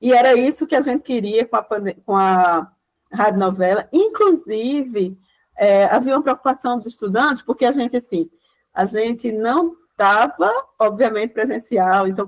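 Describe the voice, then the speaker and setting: A woman's voice: a little high, low-quality recording. A woman with a Pernambuco Brazilian Portuguese accent gives an informal lecture, chatty and conversational, full of tag questions and self-corrections. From Caruaru, Pernambuco, Brazil. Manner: chatty, many "né" tags and restarts.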